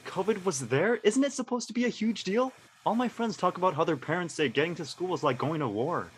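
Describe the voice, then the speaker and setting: Man playing an excitable, animated teenage boy. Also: high-pitched, a little fast, a little hiss or hum. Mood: insistent. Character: teenage boy, eager, excitable, cocky, teasing. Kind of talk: live audio drama table read.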